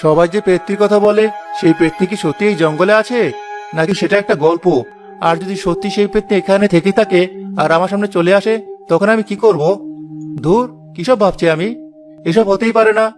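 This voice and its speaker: Man voicing a fast, high-voiced kindly village husband. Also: fairly even intonation, over music, close to an everyday voice. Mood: uneasy musing. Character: good-hearted, brave village husband who helps everyone. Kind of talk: Bengali cartoon voice acting.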